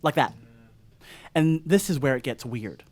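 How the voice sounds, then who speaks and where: Rattled, rambling stoner dog sitter. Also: male voice, on the high side, measured pace. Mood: rambling, uneasy. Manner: rambling, wry, animated asides. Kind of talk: radio play monologue, conversational first-person storytelling.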